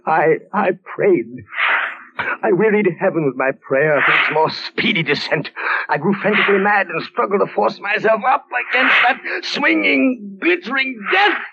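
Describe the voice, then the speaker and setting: An actor playing a terrified condemned captain in a dungeon, in halting, anguished 1940s radio horror style. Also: male voice, sits high, animated, vocal fry, breathy edge, full character voice. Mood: frantic despair. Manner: theatrical, halting, anguished, swinging from hushed dread to frenzy. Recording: dull old-time radio recording.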